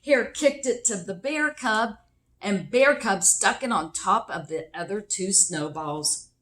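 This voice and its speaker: A woman reading a picture book aloud expressively for children, with deliberate emphasis. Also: medium pitch, lively intonation, a bit bright. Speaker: children's story reader.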